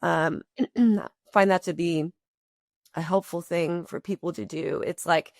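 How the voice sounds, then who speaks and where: A woman's voice: lowish voice, fairly even intonation, unhurried, vocal fry, a little mellow, no echo, clean studio sound. A woman guest on a podcast, explaining ideas in long, building sentences with everyday examples. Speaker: podcast guest, company co-founder. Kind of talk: conversational explaining.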